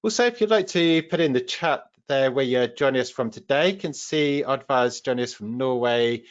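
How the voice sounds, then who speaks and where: A man's voice: medium pitch, moderate pace. A man with a British accent hosts a live online training session, explaining things in an informal, practical way as the trainer. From United Kingdom. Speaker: webinar host and trainer. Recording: slightly dull webinar audio.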